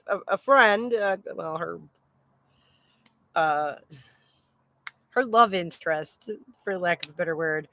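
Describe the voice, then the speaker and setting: A woman chatting casually as host of a live stream, rambling in an animated, exclaiming way with casual swearing. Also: lowish voice, no room sound, low-quality recording.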